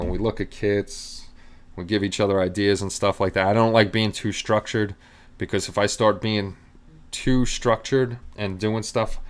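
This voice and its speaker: Laid-back man chatting on a live stream. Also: on the low side. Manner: easygoing, rambling, chatting with viewers. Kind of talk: casual live stream chat.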